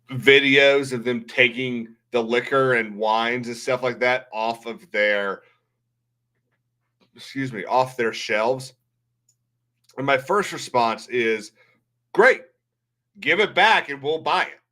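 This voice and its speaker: Bright, forceful man chatting on a livestream. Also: lowish voice. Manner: rambling, blunt, swears casually. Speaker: livestream host. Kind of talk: casual livestream chat and commentary.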